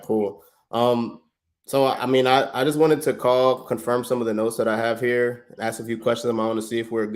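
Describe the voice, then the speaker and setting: A man's voice: medium pitch, a little mellow. Laid-back slangy man on a sales call. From Trinidad. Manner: slangy, easygoing, friendly, with stammered restarts. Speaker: real estate investor, livestream host. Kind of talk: casual livestream chat and sales phone call.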